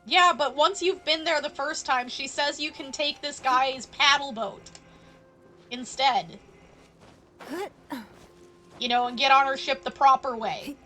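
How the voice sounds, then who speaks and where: A woman's voice: high voice, measured pace, pauses often, bright, forceful tone. A woman giving casual live gameplay commentary as a streamer, playful and rambling. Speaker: game streamer. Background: faint game music.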